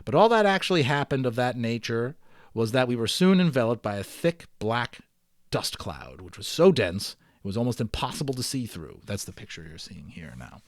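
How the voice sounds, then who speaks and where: A man giving an expressive, enthusiastic dramatic reading of a children's novel, drawing out key words and slipping into casual asides. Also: medium pitch, normal speed, dry recording, studio quality. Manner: expressive, enthusiastic storyteller, emphasizes and draws out words. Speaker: amateur audiobook reader.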